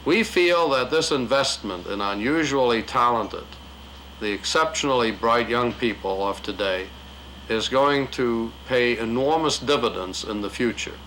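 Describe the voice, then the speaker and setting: A man delivers a formal, measured statement in a bright, forceful voice. A faint hum of room noise sits behind him. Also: mid-range voice, low-quality recording.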